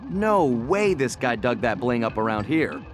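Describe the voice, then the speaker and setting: Cool raccoon thief hero, wry game character, lively pitch, music under it. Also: male voice, unhurried. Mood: wry, confident. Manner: wry, laid-back. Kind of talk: animated video game dialogue.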